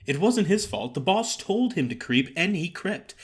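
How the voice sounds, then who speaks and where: A man reading a story aloud as theatrical audiobook narration, with a wry, expressive storytelling manner. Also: high-pitched, moderate pace. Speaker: story reader.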